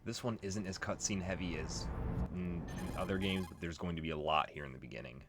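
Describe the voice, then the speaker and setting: Low-voiced man giving casual game commentary. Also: brisk, very noisy. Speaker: let's play gamer. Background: game music.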